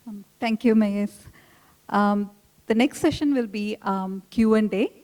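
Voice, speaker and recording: A woman hosting a book club event, reading out a measured introduction of the next speaker. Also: mid-range voice, darkish tone.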